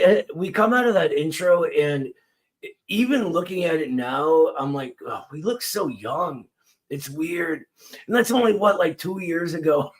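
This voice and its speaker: American male host, a bit high-pitched, easygoing and halting. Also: poor audio. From United States. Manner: friendly, hesitant, many fillers. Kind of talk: casual interview chat.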